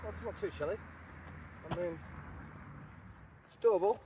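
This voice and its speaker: A laid-back man with a New Zealand accent rambles to his camera on a highway ride, dropping ums and restarting his sentences. His voice sits back from the mic and is half swallowed by loud, roaring wind and traffic noise. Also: wildly swooping pitch, a little slow, distant mic. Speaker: motorcycle vlogger. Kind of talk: casual rambling monologue. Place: outdoors on a motorcycle by the highway.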